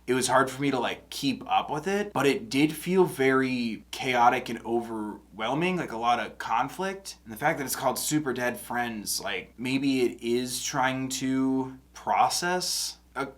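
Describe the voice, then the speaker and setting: A man's voice: mid-range voice, a bit bright. Rambling man with a stuffy nose. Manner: rambling, many "like"s and restarts. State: congested nose. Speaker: music reaction host. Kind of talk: casual reaction and analysis.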